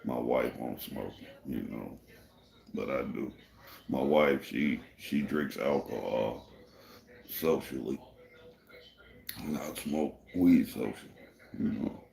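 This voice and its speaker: A man from Michigan hosting a casual livestream, with a deep gravelly voice people call a radio voice, talking in a laid-back, rambling way. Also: slow, pauses often, a little mellow, reverberant. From Michigan, USA. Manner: laid-back and rambling, many "you know" fillers. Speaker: livestream host. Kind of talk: casual livestream chat answering viewers.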